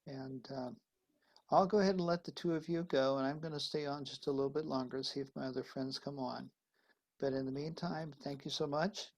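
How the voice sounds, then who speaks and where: A man's voice: a little high, fairly even intonation, brisk. A man, a priest, reading a letter aloud and hosting guests in a sermon conversation on a video call. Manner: reads a letter aloud, then hosts and thanks guests. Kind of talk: live-streamed sermon conversation.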